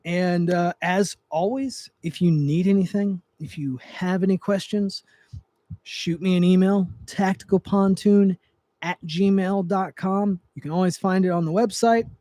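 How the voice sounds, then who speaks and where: A man's voice: high-pitched, steady tone, unhurried, mellow, no echo. A young man hosting a casual livestream, rambling with frequent restarts and fillers, seated in a chair at home on a close mic, his voice going dry. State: voice getting dry. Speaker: livestream host, trigger tuner.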